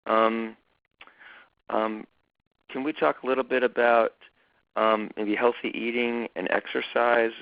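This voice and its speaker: Man hosting a talk, measured and hesitant, lots of fillers, lo-fi dry sound. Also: mid-range voice, flat delivery, gravelly, no echo. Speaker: foundation chairman and host. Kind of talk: conversational webinar talk. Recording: dull lo-fi dry recording.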